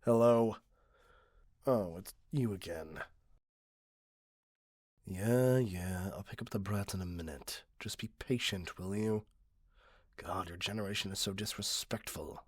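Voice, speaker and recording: A man plays an obsessive, entitled middle-aged customer turned captor in an ASMR roleplay. The voice is deep and breathy-husky, recorded very dry and close. The delivery is self-righteous and condescending, with a cloying possessiveness. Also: unhurried, pauses often, dry recording. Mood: indignant, scolding. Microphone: close, dry microphone.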